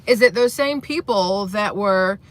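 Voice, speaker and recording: A woman's voice: mid-range voice, a little slow. A woman hosting a rambling political commentary vlog, blunt, profane and sarcastic, with a voice she says sounds boyish. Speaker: commentary channel host.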